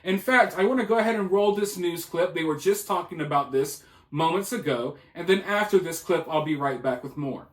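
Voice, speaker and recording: High-pitched man commenting in an echoey room. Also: a little fast, hardly any pauses. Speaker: video channel commentator. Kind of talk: casual news commentary. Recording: roomy, echoing voice.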